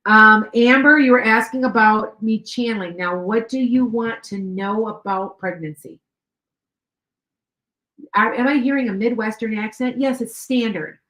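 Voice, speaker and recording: A woman's voice: fairly high pitch, a little slow, sharp tone. A middle-aged woman with a Chicago and Wisconsin accent, a psychic medium giving live-stream readings to viewers. She talks chattily, repeats herself and often checks whether her words make sense. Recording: echoey room.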